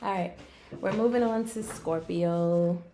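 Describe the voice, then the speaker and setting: A raspy-voiced woman with a slight cold, giving a casual, conversational tarot and astrology reading to viewers, with playful asides. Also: on the low side, a little slow, warm tone, a little hiss or hum. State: getting over sneezing and coughing. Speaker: online tarot and astrology reader.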